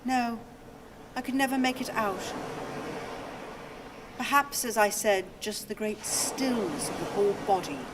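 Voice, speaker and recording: An actress playing a weary, cool, matter-of-fact wife in a radio play, speaking in measured, detached phrases. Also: female voice, close to an everyday voice. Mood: reflective. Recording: distant from the mic, noisy ambient rumble.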